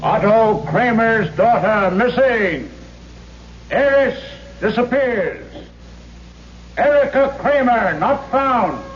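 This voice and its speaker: A man voicing a newsboy crying out shocking headlines in a drawn-out, declamatory call for a radio drama. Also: very high voice, expressive, a little hiss or hum, full character voice. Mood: urgent. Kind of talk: 1970s radio drama acting. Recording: dull old radio broadcast, loud music.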